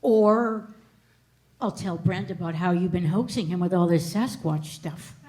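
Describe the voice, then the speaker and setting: A woman's voice: lowish voice, measured pace, a little mellow, lightly acted. Sardonic, bossy woman, played by an actress. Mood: withering. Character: sardonic, bossy, tired female gas station worker. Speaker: actor at a table read. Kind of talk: live comedy script read. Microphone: stage microphone on stand.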